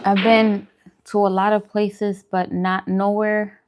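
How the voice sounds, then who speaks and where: A woman's voice: medium pitch, level tone, takes it slowly, darkish tone. A woman chatting casually and playfully with viewers as the informal host of an eating livestream. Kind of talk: casual chat. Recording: clearly noisy background.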